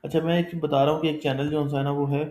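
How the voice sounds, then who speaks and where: A man's voice: fairly high pitch, little pitch movement, quick pace, darkish tone. A man teaching a live online class, explaining step by step in a conversational way and often checking that his students follow. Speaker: instructor. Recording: echoey room.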